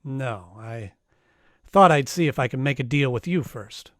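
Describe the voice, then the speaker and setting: A man's voice: medium pitch, lively intonation, unhurried, no room sound, clean studio sound, lightly acted. A man playing a wisecracking, dryly sardonic private eye in an old-time radio detective play. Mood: dry, bargaining. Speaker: actor in live radio play, also narrating.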